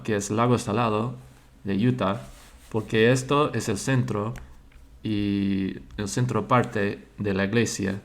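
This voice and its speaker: Slow, halting man speaking a learned language. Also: lowish voice, creaky. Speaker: church member sharing religious thoughts. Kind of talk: slow personal religious monologue.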